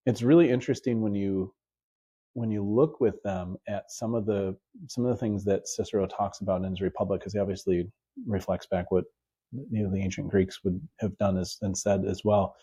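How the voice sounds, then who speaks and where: Man, husky, dark mellow tone, casual podcast host, halting and repeating words. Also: fairly low pitch, steady tone. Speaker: podcast host and high school teacher. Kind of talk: casual conversation about teaching.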